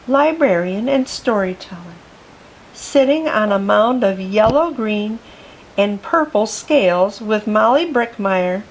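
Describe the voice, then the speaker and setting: A woman reads a children's picture book aloud at a slow, unhurried pace. Her voice sits fairly high and her storytelling is gentle and expressive. A faint hiss runs under the recording. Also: poor audio. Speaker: storytime reader.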